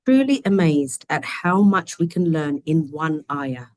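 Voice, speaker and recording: A woman hosting and translating in an online study session, talking in a gentle, chatty way with many fillers, her voice a little croaky. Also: deep voice, sing-song, a little slow, no echo. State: slightly croaky voice. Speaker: host. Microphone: video call.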